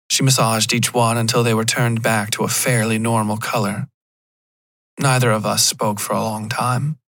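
A man narrating scripted dark fiction as a podcast host, with music behind him. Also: fairly low pitch, vocal fry, dry recording, studio quality.

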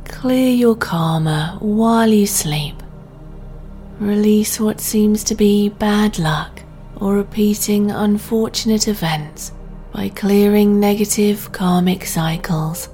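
A woman narrates a guided sleep meditation at a very slow pace. Her delivery is breathy, gentle and soothing, with a mid-range pitch. Music plays behind her. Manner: soft, breathy, soothing, deliberate.

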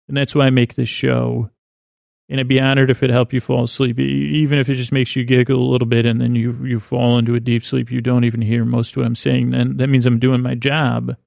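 Creaky-voiced, meandering American man. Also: medium pitch, darkish tone, no echo, clean studio sound. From Syracuse, New York, United States. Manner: meandering, halting, stumbling over words, reassuring. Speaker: sleep podcast host. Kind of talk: rambling bedtime monologue, addressing the listener.

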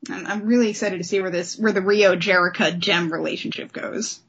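A woman's voice: medium pitch, fairly even intonation, brisk, dull audio, a little hiss or hum. A woman hosting a casual comic book discussion, speaking in an enthusiastic, informal way. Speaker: podcast host.

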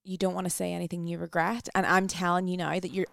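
Fast-talking woman with a gravelly voice. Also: lowish voice, little pitch movement, a bit bright, no room sound. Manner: chatty, full of "like" fillers. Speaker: podcast guest, wellness coach. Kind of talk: casual podcast chat.

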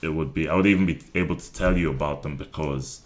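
A man's voice: rumbling low, quick pace. A man in his twenties, a stand-up comedian from Nigeria and Ireland, chatting casually in an interview with many ums and restarts. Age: 20s.

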